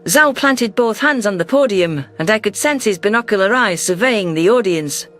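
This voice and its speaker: A man reading a science-fiction story in a steady, measured way, his voice sitting fairly high. Faint music plays under the narration. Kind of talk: scripted sci-fi audiobook narration.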